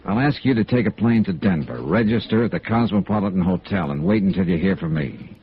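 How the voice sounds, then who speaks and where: A man's voice: deep voice, animated, brisk, gravelly, slight background noise. An American actor playing a hard-boiled, persistent insurance investigator in a radio noir drama, clipped and matter-of-fact as he narrates and questions. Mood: firm, businesslike. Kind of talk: 1950s radio noir acting. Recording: dull vintage radio recording with music.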